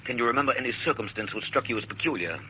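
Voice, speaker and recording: An actor playing a big, secretive French police inspector in a 1930s radio drama, questioning in a clipped, deliberate manner. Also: male voice, medium pitch, animated, sharp tone. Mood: coolly probing. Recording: muffled, hissy old radio broadcast.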